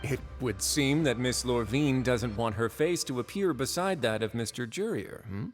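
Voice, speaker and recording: An actor playing a composed, courteous duke of a prison fortress in a video game, speaking in a polished, measured way with understated irony. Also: male voice, medium pitch, lively intonation, soft background music, close to an everyday voice. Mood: wry, teasing.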